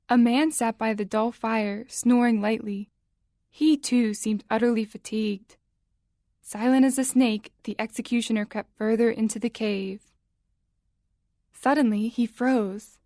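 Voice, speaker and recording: A woman narrates a dramatic tale in a slightly high voice. Her delivery is measured and suspenseful, recorded close and dry. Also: a little mellow, no echo. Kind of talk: scripted story narration.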